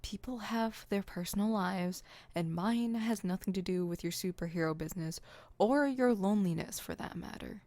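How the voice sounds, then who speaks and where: A woman's voice: medium pitch, moderate pace, a little mellow, natural voice. A woman voicing an exasperated, proud but secretly caring supervillain in an ASMR roleplay, with an indignant, wordy, dry delivery.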